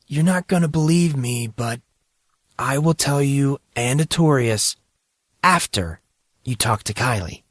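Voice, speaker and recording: A man's voice: mid-range voice, lively intonation, unhurried, a bit bright, dry recording, clean studio sound, pitched above the performer's own voice. A man playing a troubled fourteen-year-old schoolboy with a wry, exasperated manner in an audio drama. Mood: conceding.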